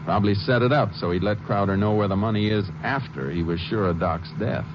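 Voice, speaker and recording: An actor playing a calm, shrewd Texas Ranger in a measured, assured, plain-spoken way, in 1950s radio western style. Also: male voice, fairly low pitch, noisy background, close to an everyday voice. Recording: muffled old radio recording with music.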